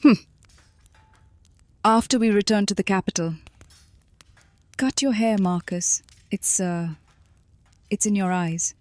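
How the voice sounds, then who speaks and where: A woman playing a commanding vampire mistress who is secretly shy and fond, halting between orders, in a fantasy audio drama. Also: medium pitch, no echo, close to an everyday voice. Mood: awkward, tender.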